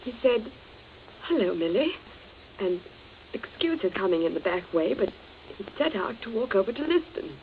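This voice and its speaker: Actress as a fragile distraught daughter, tinny recording. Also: female voice, mid-range voice, sing-song, moderate pace, long pauses, close to an everyday voice. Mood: nervous, faltering. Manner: faltering, pleading, breathless. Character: distraught, fragile grown daughter confessing under questioning. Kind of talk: old radio drama melodrama. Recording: tinny, noisy old radio recording.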